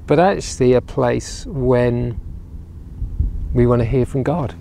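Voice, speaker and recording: Earnest man preaching outdoors, gravelly voice. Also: mid-range voice, slow pace, dark tone, slight background noise. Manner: earnest, gestures broadly, repeats words when building a point. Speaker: regional minister. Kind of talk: outdoor sermon to camera. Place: outdoors by ruined castle walls on a sunny day. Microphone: clip-on lapel mic.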